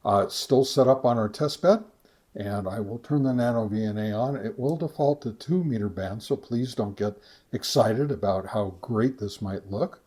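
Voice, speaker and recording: A man narrating a casual product test as a review host, talking step by step in a halting way. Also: lowish voice, a little mellow, breathy edge. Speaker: host of a ham radio gear review channel.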